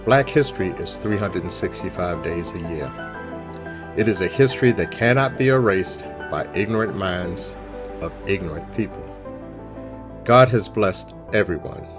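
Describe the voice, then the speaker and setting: A man's voice: deep voice, expressive, measured pace. A man reading a scripted spoken tribute aloud. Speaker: volunteer reader. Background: music.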